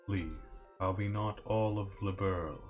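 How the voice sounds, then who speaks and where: Man voicing a gentle, low-pitched noble princess. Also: steady tone, slow pace, faint music behind. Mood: earnest pleading. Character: gentle, noble young princess, pleading and devoted. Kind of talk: stage-play acting in game dialogue.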